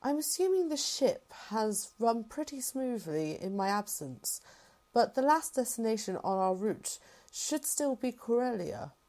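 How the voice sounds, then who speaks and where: Woman as a high, lively-voiced rebel general. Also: a little slow. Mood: composed, reassuring. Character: composed, reassuring female rebel general. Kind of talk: fan-made sci-fi roleplay drama.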